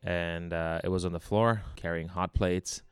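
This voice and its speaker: Male interview guest from Hong Kong, very low clean voice, conversational and anecdotal. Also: fairly even intonation, measured pace, clean tone, dry recording. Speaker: bar founder, interview guest. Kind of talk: casual interview chat.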